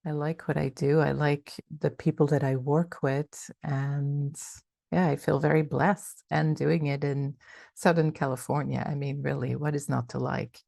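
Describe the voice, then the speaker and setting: A middle-aged woman from Belgium talks through her story in a measured, reflective way as an interview guest. Her voice sits very low and mellow, with a gravelly edge, and the recording is close and dry. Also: flat delivery, dry recording. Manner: reflective, measured, with many fillers and restarts. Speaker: guest, coach and founder. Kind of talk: casual interview talk.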